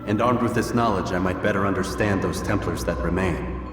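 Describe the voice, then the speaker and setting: An actor playing a stoic, terse young assassin in a video game, serious and blunt. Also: male voice, low-pitched, fairly quick, over music, natural voice. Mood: questioning, restrained. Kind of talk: dramatic video game voice acting.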